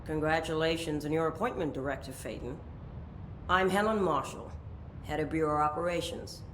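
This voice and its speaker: An actress playing a middle-aged operations chief, brisk, commanding and unflappable. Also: female voice, low-pitched, echoey room, a little hiss or hum, close to an everyday voice, rough recording. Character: tough no-nonsense female operations chief. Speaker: head of operations.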